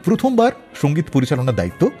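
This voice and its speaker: Animated Indian male radio storyteller. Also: fairly high pitch, quick pace. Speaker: radio show host. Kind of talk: radio storytelling narration between songs. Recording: long reverb, slightly blurred voice, faint music. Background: faint music.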